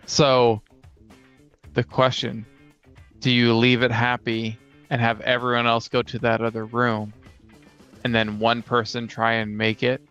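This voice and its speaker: A man talks casually through gaming ideas, step by step, in a smooth and fairly flat voice. He sounds thoughtful as a co-host and player. The recording is a little dull, with music behind. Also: mid-range voice, measured pace, long pauses. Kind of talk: casual brainstorming chat.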